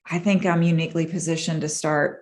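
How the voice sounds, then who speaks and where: A woman with a lowish voice, a clinician telling her own history in an interview. She talks in an earnest, measured way and pauses to reflect as she goes. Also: fairly even intonation. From Massachusetts, USA. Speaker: guest, clinician. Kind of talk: personal storytelling in an interview.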